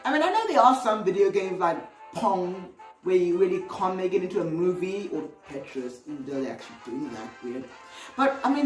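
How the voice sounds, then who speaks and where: A woman hosting a casual, opinionated video commentary in a rambling, exclamatory, conversational way. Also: fairly low pitch. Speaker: video host. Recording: roomy, distant mic, music underneath. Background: music.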